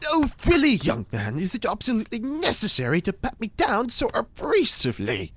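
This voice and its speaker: A man's voice: sits high, wildly swooping pitch, brisk, a bit bright, heavily acted. A man playing a pompous, theatrical occult scientist. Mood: lecturing. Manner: grandiose, theatrical, lecturing. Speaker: reporter's informant. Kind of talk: audio drama acting.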